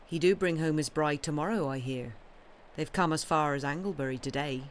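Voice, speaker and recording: A woman's voice: deep voice, fairly quick, slight background noise, natural voice. A woman voicing a gossiping country milkmaid in a storybook, talking in rustic dialect. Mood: gossipy.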